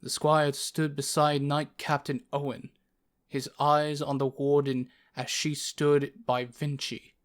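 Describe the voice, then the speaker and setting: A man's voice: on the high side, flat delivery, takes it slowly. A man reading scripted narration for a fantasy audio story. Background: music.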